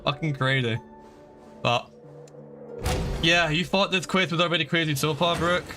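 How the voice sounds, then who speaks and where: A man's voice: a little high, normal speed, music in the background. A young man livestreaming a video game, chatting casually and explaining things in a rambling, informal way. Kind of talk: casual stream chat and game advice.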